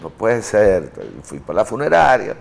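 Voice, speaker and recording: A man in his fifties with a somewhat low voice. He tells stories as a studio guest in a loose, rambling way, with frequent fillers and chuckles, picked up on a lapel mic. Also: big pitch swings, normal speed, vocal fry. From Italy. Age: 50s. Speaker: interview guest. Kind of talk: casual chat.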